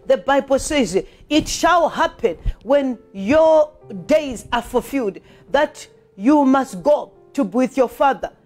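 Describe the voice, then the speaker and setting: High-pitched woman preaching fervently. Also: a little mellow. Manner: emphatic, repetitive, exhorting. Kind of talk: fervent charismatic sermon with scripture reading and prayer.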